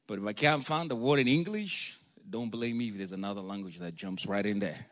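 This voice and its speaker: A man from Africa, a preacher giving a sermon in a lively, joking, storytelling way and calling for amens. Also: fairly low pitch, fairly quick.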